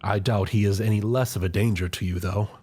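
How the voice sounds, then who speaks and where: A man acting a grave, husky royal chancellor. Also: on the low side, steady tone, a little mellow, close to an everyday voice. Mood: deferential, solemn. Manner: formal, deferential, measured courtly speech. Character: loyal, scheming older male royal chancellor, formal and grave. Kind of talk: scripted fantasy audio roleplay acting.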